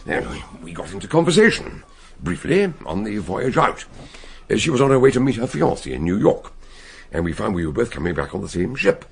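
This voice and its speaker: An actor playing an eccentric elderly detective in a radio drama, with a genial, learned manner and chuckling, expressive delivery. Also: male voice, on the low side, fairly quick. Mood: amused. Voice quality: gravelly, breathy voice. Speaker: amateur detective. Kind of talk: 1960s radio drama acting.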